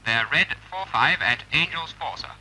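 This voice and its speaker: Casting: clipped naval subordinate, very sharp forceful tone, 1940s training film, slight noise. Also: male voice, on the high side, expressive, normal speed. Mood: dutiful, brisk. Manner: clipped, military. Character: quick, dutiful male flight deck officer. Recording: faintly noisy vintage film soundtrack.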